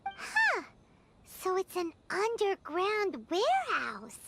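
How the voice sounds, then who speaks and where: Squeaky, excitable tiny fairy girl. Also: slow pace, full character voice. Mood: curious, chatty. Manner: speaks of herself in third person. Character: tiny childlike fairy companion, female, chirpy and excitable. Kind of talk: video game character acting.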